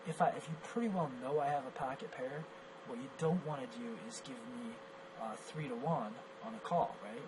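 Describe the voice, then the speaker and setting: Hoarse man breaking down poker hands. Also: a little high, animated, moderate pace, background noise. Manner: analytical, self-critical, thinks aloud with fillers. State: hoarse, lost voice from coughing. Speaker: poker coach reviewing his own match. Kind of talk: screen-recorded hand review commentary.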